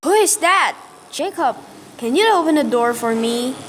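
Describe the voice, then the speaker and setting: Woman acting high-pitched, emotive young student girl. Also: animated, normal speed, faint music behind. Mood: curious. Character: young female student torn between two loves. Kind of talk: amateur radio play acting.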